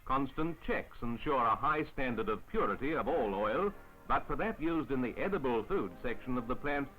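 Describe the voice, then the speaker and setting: A man narrating a 1950s newsreel in a formal, measured announcer style on an old film soundtrack. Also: mid-range voice, expressive, narrow, tinny audio. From Australia. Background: music.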